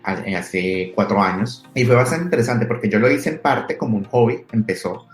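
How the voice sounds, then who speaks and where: A Colombian man in his thirties speaks in a low, easygoing voice, telling his story anecdotally as an interview guest. The room is echoey, and faint music plays underneath. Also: moderate pace. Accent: Colombian Spanish. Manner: relaxed, anecdotal, frequent restarts. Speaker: entrepreneur guest.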